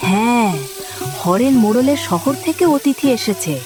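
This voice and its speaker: A friendly village auntie acted by a woman chats in a fairly high, very animated voice. It sounds like a casual cartoon scene, with clear music behind. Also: normal speed, close to an everyday voice. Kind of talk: cartoon moral story dialogue.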